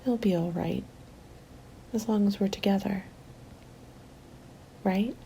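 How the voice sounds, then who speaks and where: A woman playing a weary but hopeful zombie-apocalypse survivor reading out a winter journal, in a soft, breathy, intimate ASMR roleplay style that often slips toward a whisper. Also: medium pitch, slow pace, a little hiss or hum, poor audio. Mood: tender, reassuring. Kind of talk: ASMR roleplay, scripted dramatic narration.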